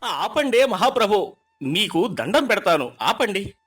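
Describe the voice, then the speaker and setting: Woman playing older village man, husky, lowered swooping voice, exasperated, weary, storybook. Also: sing-song, unhurried, a bit bright, full character voice. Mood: exasperated pleading. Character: exasperated older village father-in-law, long-suffering.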